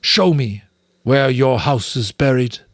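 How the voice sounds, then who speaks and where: A man voicing a grave, deliberate rat leader with an authoritative manner, in a storybook reading. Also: medium pitch, dry recording, voice pushed up, natural voice. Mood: deliberate, questioning. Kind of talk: dramatic audiobook reading of a children's novel.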